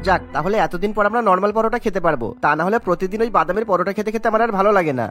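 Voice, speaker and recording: A man acts a young son in a cartoon. He talks extremely fast and briskly in a fairly high male voice, with light music behind him. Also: a bit bright. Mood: relieved. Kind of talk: cartoon voice acting.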